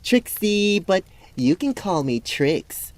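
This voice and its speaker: Woman as a slow, swooping, sassy fantasy seductress. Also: medium pitch, very animated, darkish tone, slight background noise. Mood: flirtatious. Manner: sultry, teasing, drawling. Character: tailed fantasy seductress, secretly sarcastic, jaded and flirtatious. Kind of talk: fantasy audio drama acting.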